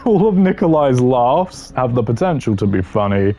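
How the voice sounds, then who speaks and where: A man's voice: mid-range voice, sing-song, normal speed, warm tone. A man giving casual, opinionated gaming commentary in a blunt, dismissive way with dry humour. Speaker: gaming content creator ranking voice lines.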